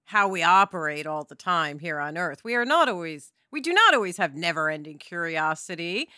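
Bright-voiced woman co-hosting a podcast. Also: fairly low pitch, sing-song, moderate pace, no room sound, studio quality. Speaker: podcast co-host. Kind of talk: conversational podcast talk.

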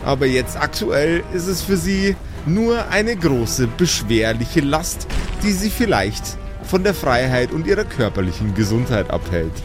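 A man's voice: medium pitch, very animated, measured pace. A man narrating an improvised tabletop fantasy game dramatically as game master. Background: music.

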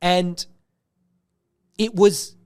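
A man's voice: sits high, very slow, clear, resonant tone, no room sound. Slangy man rambling casually, dry close sound. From Iran. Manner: animated slangy storytelling with frequent "like" and swearing. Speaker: host of a YouTube show. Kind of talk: casual rambling movie chat.

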